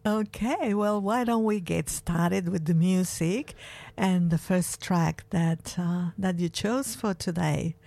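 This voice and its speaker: Slow, dark-voiced woman radio host. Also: fairly low pitch, gravelly, no room sound. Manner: relaxed, unhurried delivery with occasional fillers. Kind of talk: radio show presenting. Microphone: studio microphone.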